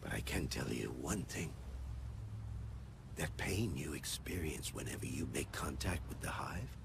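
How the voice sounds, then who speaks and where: Very deep, sinister, gravelly scientist. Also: male voice, lively intonation, background noise, full character voice. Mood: calm, sinister. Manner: slow-burning, hushed, formal and grandiose. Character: sinister older male scientist, calmly menacing, soothingly patronizing. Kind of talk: dramatic game acting. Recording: loud ominous music behind.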